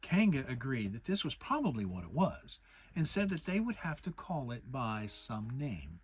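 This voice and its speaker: A woman's voice: a bass voice, very animated, moderate pace, a little hiss or hum. A woman narrating a children's audiobook as a dramatic reading. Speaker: storybook narrator.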